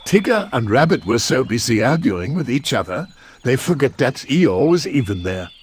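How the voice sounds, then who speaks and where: A man's voice: mid-range voice, sing-song, normal speed, slight background noise. A man giving scripted narration of a children's storybook as the narrator.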